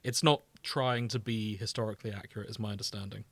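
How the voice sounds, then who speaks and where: A man's voice: fairly low pitch, fairly even intonation, fast talker, vocal fry, a bit bright, no room sound. A man co-hosting a comedic podcast, chatting casually and bantering with playful mock indignation. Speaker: podcast co-host. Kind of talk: casual comedic chat, reading out fan fiction tags.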